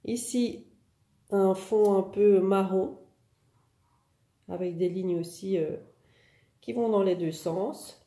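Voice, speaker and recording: Dark-voiced woman with a head cold. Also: medium pitch, takes it slowly, pauses often, roomy sound. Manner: chatty, many "euh" and "donc" fillers. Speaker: online craft shop owner presenting products. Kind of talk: casual product showcase.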